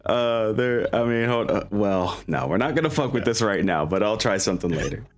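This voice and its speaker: A man's voice: fairly low pitch, animated, moderate pace. A man speaking informally and with swearing as the game master of a tabletop role-playing session. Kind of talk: casual tabletop game narration.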